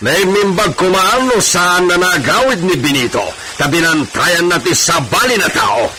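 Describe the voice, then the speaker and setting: Man acting a blustering high-pitched landowner, Ilocano accent. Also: lively intonation, a little slow, noisy background. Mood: angry, demanding. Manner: blustering, exclamatory. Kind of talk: Ilocano radio drama acting.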